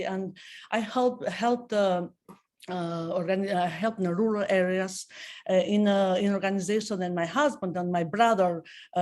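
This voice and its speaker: This is a middle-aged woman with an Albanian accent, speaking English as a second language. She presents her own story to an audience in an earnest, heartfelt way, with occasional non-native phrasing. Her pitch sits at a typical level for a woman. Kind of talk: personal testimonial presentation.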